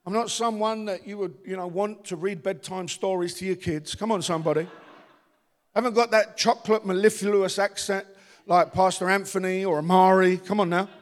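A man from the East End of London with a Cockney accent, preaching a rousing sermon as pastor and calling on the congregation to respond. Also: high-pitched. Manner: rousing, calls for audience response. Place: church. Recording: long church reverb.

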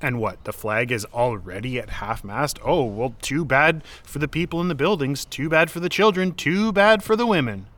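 Actor playing a defensive, exasperated man, animated intonation. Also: medium pitch, moderate pace, hardly any pauses, lightly acted. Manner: argumentative, earnest, exasperated. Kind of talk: naturalistic audio drama dialogue.

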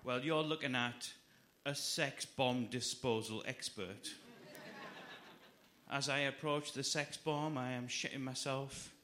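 A man delivering a stand-up monologue in a deliberate, mock-serious way. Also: medium pitch, fairly even intonation, pushed and bright. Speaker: stand-up comedian. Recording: noisy live room.

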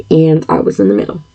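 A woman in her fifties speaking an earnest, halting personal monologue, often clearing her throat and pausing on fillers. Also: lowish voice, mellow, muffled recording. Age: 50s.

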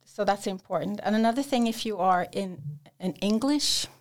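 A woman's voice: mid-range voice, normal speed. A woman working as an academic English tutor, answering interview questions in a hesitant way with many fillers and restarts.

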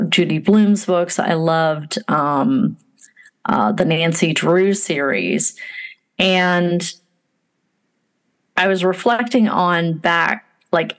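A woman hosting a podcast, talking in a casual, reflective, conversational way with frequent filler words. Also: lowish voice. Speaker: podcast host.

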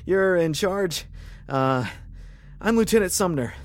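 A man's voice: high-pitched, animated, slow pace, background noise. A man playing a wry, scrappy space lieutenant in a science fiction audio drama, with a sardonic, self-muttering delivery. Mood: cautious, placating. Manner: sardonic muttering to himself, sighing, breaking into shouts. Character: wry, scrappy male space lieutenant alone on a hostile ship. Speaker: narrating protagonist.

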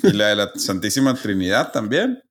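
A man's voice: on the low side, very animated, moderate pace, a bit bright. A man with a Mexican accent who co-hosts a podcast, chatting casually in a joking, teasing way. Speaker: podcast co-host. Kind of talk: casual chat.

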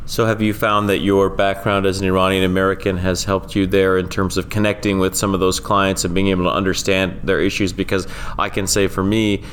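A man hosting a podcast, introducing a guest and asking questions in a measured, halting way with many fillers. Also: low voice, level tone, a bit bright, slight background noise. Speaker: podcast host and law professor.

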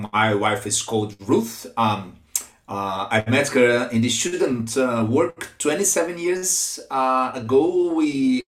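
Guest: Brazilian-accented man, 50s, halting with fillers, echoey room. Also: medium pitch. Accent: Brazilian Portuguese. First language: Portuguese. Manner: hesitant, many fillers and restarts, chuckles. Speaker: guest, ministry secretary. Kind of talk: interview, personal story.

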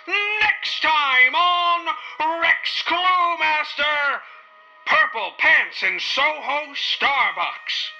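A high, very bright old-time radio news anchor played by a comic performer, excitable and theatrical. The words are drawn out slowly, in the manner of a vintage newsroom broadcast. The sound is dull and lo-fi, with music behind it. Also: creaky, exaggerated voice. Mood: dramatic. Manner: theatrical, drawn-out announcer delivery. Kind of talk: 1940s radio newsroom parody, scripted announcing.

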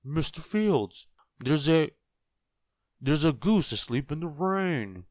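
A man's voice: fairly low pitch, animated, normal speed, no room sound, natural voice. Man acting a forgetful storybook fellow, muddled, good-natured, hesitant, easily flustered, dry close sound. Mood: urgent.

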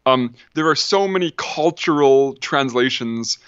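A man's voice: medium pitch, animated, unhurried, a bit bright, clear, resonant tone, no room sound, lo-fi sound. A man, an ancient historian, talking as a podcast guest, thinking aloud with lots of ums and restarts. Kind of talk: casual academic discussion.

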